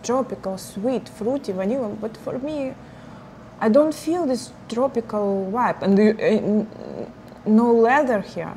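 A young woman co-hosting a perfume review, casual and halting, searching for her words in a bright studio. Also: a little high, slight background noise. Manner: halting, searching for words, non-native phrasing. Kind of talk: casual chat. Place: bright indoor studio with flower wall.

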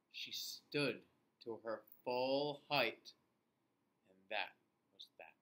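A middle-aged man reading a picture book aloud to young children, expressively, with questions and pauses for them to answer. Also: medium pitch, expressive, fairly bright tone. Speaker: children's class host and teacher reading aloud. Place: small indoor room.

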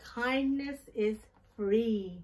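A middle-aged woman hosting a children's storytime, reading a picture book aloud in simple, deliberate phrases and putting questions to her young listeners. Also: a little high, darkish tone. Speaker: children's storytime host and reader. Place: small decorated indoor room.

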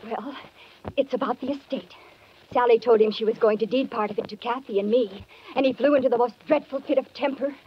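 A muffled 1940s radio recording carries a scheming, jealous woman played by an actress. Her voice sits fairly high, and she speaks in a genteel, measured, hesitant way. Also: background noise, close to an everyday voice. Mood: feigned distress.

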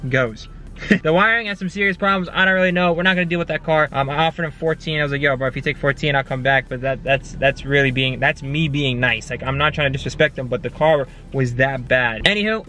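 A young man in his twenties, vlogging about cars to a handheld camera, first in a car and then outside a shop, talking fast and excitedly with casual slang. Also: fairly high pitch. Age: 20s. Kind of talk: casual vlog talk to camera. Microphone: handheld camera at arm's length. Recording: outdoor street noise behind.

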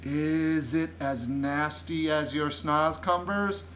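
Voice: mid-range voice, steady tone, takes it slowly, fairly bright tone. A storyteller voicing a polite, curious little girl who asks questions in a children's storybook. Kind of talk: children's storybook read aloud.

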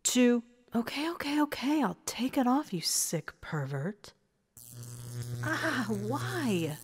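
A woman's voice: fairly high pitch, big pitch swings, slow, slightly rough, voice pushed up, exaggerated voice. A woman voicing a bewildered, defiant captive man who protests with alarm in an audio story. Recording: reverberant hall-like echo.

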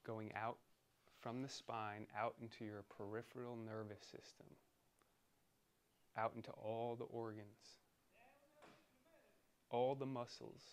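A man leading a guided meditation in a soothing, deliberate manner, pausing between short phrases. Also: mid-range voice, level tone, a little hiss or hum.

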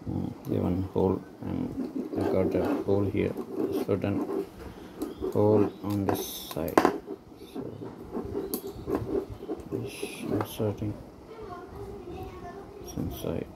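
Slow, halting man with a gravelly voice. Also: low voice, level tone. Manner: halting, hesitant, trailing phrases. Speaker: hobbyist presenter. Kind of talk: unscripted how-to narration while working. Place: indoors at a tabletop. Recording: noticeable background noise.

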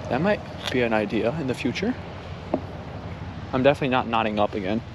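A mid-pitched man talks his viewers through an outdoor outing in a relaxed, easygoing way. He shrugs off small setbacks as he goes. His voice sits over clear outdoor background noise from a handheld camera. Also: fast talker. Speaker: outdoor vlogger. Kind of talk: casual walk-and-talk vlog narration. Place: outdoors by a lake and trails.

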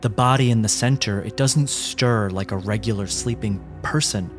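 A man narrating a horror tabletop game as game master, with dramatic, descriptive and deliberate phrasing. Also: lowish voice. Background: background music.

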